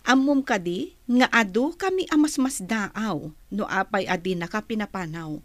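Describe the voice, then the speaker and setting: A woman's voice: medium pitch, lively intonation, normal speed, no room sound, natural voice. A woman with an Ilocano accent playing a prying, catty office superior with a pointed manner in a radio drama. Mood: sarcastic, scolding.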